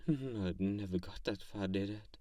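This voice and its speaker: A man playing an ancient, teasing yet soothing vampire in an intimate ASMR roleplay, speaking in slow phrases with hushed touches. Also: fairly low pitch, recorded from a distance, close to an everyday voice. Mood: tender, melancholy. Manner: slow pauses, soft chuckles, drops into whispers. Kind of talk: ASMR roleplay, intimate one-sided comfort monologue.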